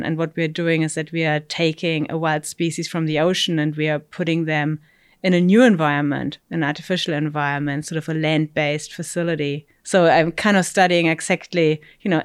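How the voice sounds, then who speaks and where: A middle-aged woman from Germany, a fish breeding scientist answering interview questions with many fillers and asides. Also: deep voice. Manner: thoughtful, explains with many fillers and "you know".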